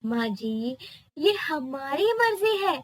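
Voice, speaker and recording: Casting: a woman as a young daughter-in-law, earnest, high and slow. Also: very animated, dry recording, heavily acted. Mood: protesting.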